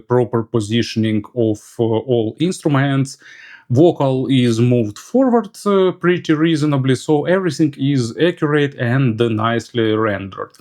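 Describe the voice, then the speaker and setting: A man's voice: medium pitch, a little mellow. Man reviewing audio gear, hesitant phrasing. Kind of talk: product review commentary.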